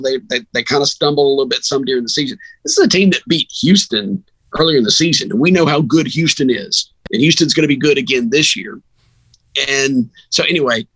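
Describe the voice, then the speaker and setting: A man's voice: medium pitch, fairly bright tone, breathy edge, no echo, rough recording. A man talking sports casually as a podcast guest, opinionated and emphatic, often rambling into asides. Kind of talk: sports talk, casual conversation.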